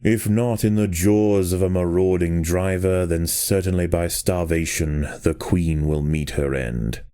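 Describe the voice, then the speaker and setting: A man reading scripted nature documentary narration for a game in a measured, grave storytelling manner. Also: low voice, dark tone.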